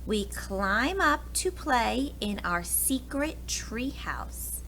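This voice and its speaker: A woman's voice: a little high, sing-song, very slow, a bit bright. An American woman, a preschool teacher, reads a picture book aloud expressively, addressing young children with questions and asides. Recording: clear background noise.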